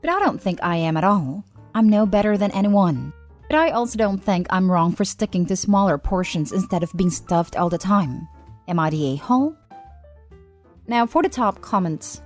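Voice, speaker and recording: A woman narrator reading online posts and comments aloud in a steady, even delivery. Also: fairly low pitch. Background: faint background music.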